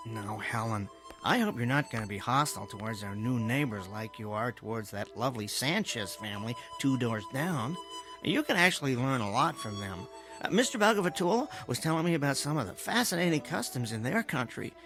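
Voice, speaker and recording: Meek, lively-pitched henpecked husband. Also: male voice, a little fast, faint music behind. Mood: mild, appeasing. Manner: hesitant, stammering, placating. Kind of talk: radio drama acting.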